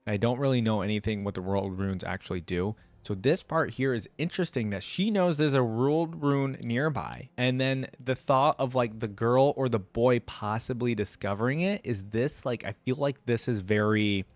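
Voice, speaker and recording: A man hosting a reaction video, reading a story aloud and thinking out loud in rambling, casual commentary. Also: medium pitch, normal speed, hardly any pauses, dry recording.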